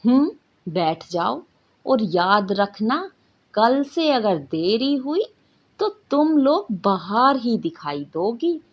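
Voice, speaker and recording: A woman's voice: fairly high pitch, sing-song, slow pace, muffled recording, heavily acted. A woman voicing a strict, commanding male magic teacher who lectures and scolds in a bedtime story. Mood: stern.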